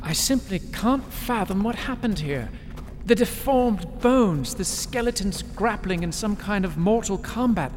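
An actor playing a plain-spoken, bewildered and horrified expedition member, dramatic and dismayed, in a radio drama. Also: male voice, high voice, animated, unhurried, a little hiss or hum. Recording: cavernous reverb, faint music.

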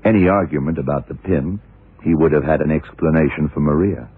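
An actor playing a shrewd, worldly, commanding male detective who explains deliberately, in the style of vintage radio drama. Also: extremely low pitch, slightly rough, no echo. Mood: patient, knowing. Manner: deliberate, hard-boiled explaining. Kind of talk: 1960s-70s radio drama acting. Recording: muffled, narrow-band vintage radio recording.

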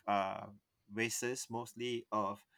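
Bright-voiced man telling a story. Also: on the low side, flat delivery, extremely slow, creaky, no room sound, studio quality. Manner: rambling, often stops and restarts mid-sentence. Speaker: podcast co-host. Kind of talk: casual storytelling chat.

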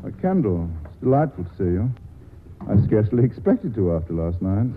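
An actor playing a flippant, witty man who keeps joking even when a gun is aimed at him, in the style of 1940s radio acting. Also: on the low side, a little fast, over music. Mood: bantering. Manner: glib, wry, talkative. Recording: tinny old radio broadcast with faint hiss.

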